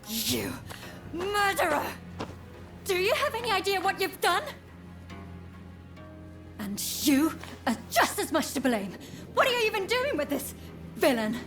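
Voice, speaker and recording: A woman's voice: sits high, a bit bright, exaggerated voice. An actress playing a stern, indignant woman healer of feudal Japan, reproachful and righteous, in a video game cutscene.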